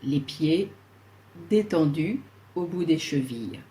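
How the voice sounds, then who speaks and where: A woman leading a guided yoga relaxation, speaking soothingly and leaving long pauses between short instructions. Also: low-pitched, lively intonation, warm tone.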